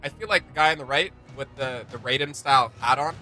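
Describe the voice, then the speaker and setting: A man's voice: medium pitch, fast talker, sharp tone, faint music behind. A man hosting a fan reaction show, giving casual, slangy and enthusiastic commentary.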